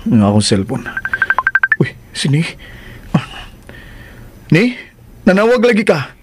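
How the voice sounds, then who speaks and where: An actor with a Cebuano accent playing a secretive, placating unfaithful husband who speaks earnestly in a radio drama. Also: male voice, fairly high pitch, sing-song, takes it slowly, heavy background noise. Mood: placating, furtive.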